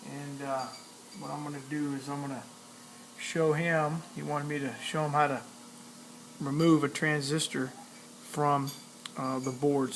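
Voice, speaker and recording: Flat-toned, higher-pitched man with creaky voice. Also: noisy background. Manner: plainspoken, step-by-step, talking while working. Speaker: electronics hobbyist demonstrating a repair. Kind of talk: casual hands-on tutorial narration.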